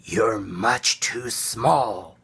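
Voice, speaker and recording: A man acts out a bossy storybook cat. The delivery is slow and snappish, scoffing in a crisp, bright tone. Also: fairly low pitch, exaggerated voice.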